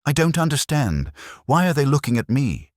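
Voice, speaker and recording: A man puts on a frightened young curate for an audio mystery, lifting his voice well above its deep natural pitch. He pleads anxiously, with a trembling, protesting manner. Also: sing-song, moderate pace, no echo, clean studio sound, natural voice.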